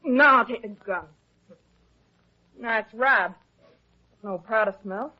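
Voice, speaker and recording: An actress playing a cool, commanding, taunting femme fatale in old-time radio detective style. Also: female voice, fairly high pitch, slow. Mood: cool menace. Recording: dull, lo-fi old radio drama recording.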